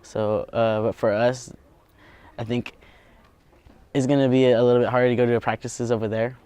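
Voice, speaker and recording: Smooth-voiced casual male student. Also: medium pitch, level tone, normal speed, warm tone, dry recording. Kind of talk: interview answer.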